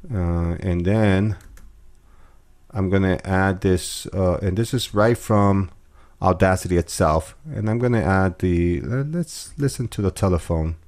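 A man calmly walking through audio editing steps in a screen-recorded tutorial, with casual fillers. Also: deep voice, unhurried. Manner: relaxed step-by-step explaining with fillers. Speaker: music production tutor.

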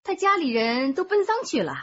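An actress playing a forthcoming, chatty neighbour woman in a radio drama, animated and direct. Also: very high voice, fairly quick, muffled recording. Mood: helpful.

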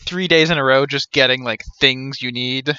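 A man's voice: medium pitch, moderate pace, bright, forceful tone, smooth, highs cut off. A man in his thirties giving casual, joking live commentary as he streams a game. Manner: joking, wry. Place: small indoor room, seated.

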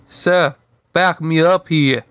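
A man voicing an exasperated cartoon watchdog commander in a flat, grumbling manner. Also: on the high side, slow, clean tone, no echo.

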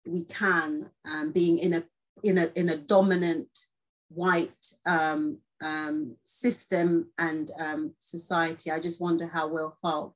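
A woman's voice: deep voice, rough recording. A woman interviewer talking thoughtfully and casually, feeling her way tentatively toward her questions. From born in Guyana. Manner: tentative, circling questions with hedges.

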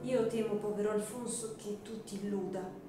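A woman playing a sorrowful, doubting young gentlewoman in a melodramatic radio drama, grave in manner. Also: medium pitch, fairly even intonation, normal speed, natural voice. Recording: distant, very echoey, music behind.

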